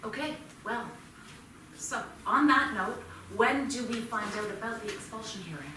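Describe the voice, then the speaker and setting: A woman's voice: fairly high pitch, moderate pace, close to an everyday voice. A woman in a staged play reading playing a protective, wary mother, guarded, clipped and challenging. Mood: anxious, defensive. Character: protective, wary mother of accused teenage student. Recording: echoey theatre, distant mic, noisy.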